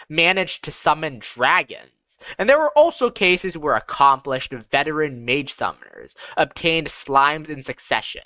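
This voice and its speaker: Give this story to an audiobook narrator with a medium-pitched, bright voice and very animated intonation. The reading is scripted first-person storytelling, with pitch that rises and falls freely. The sound is close and dry, with little room on it. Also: no room sound.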